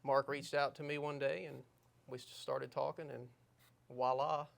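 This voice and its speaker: A man's voice: mid-range voice, little pitch movement, measured pace, creaky. A man in his thirties from Virginia, talking casually and modestly on a podcast with dry humor. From Virginia, USA. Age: 30s. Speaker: new staff member, guest.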